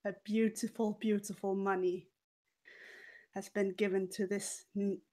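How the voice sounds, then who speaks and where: A woman tells a dark tale in a slow, theatrical delivery, lingering on her pauses. She plays a haughty, venomous, money-loving former cabaret dancer. Her mid-pitched voice swells dramatically as she narrates in the third person. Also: flat delivery. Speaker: player in a murder mystery role-playing game.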